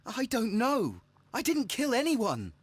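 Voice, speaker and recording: A man playing a frightened young murder suspect, stammering and pleading in a dramatic video game style, with a husky, strained voice. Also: very high voice, huge pitch swings, slow pace, exaggerated voice. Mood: panicked denial.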